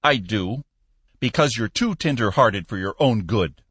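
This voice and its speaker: A man's voice: lowish voice, lively intonation, unhurried, a bit bright, breathy edge, no room sound, voice pushed up, exaggerated voice. A stiff, humorless office manager with a firm, raised voice, clipped and complaining, as a male narrator puts him on. Recorded close and dry. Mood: indignant.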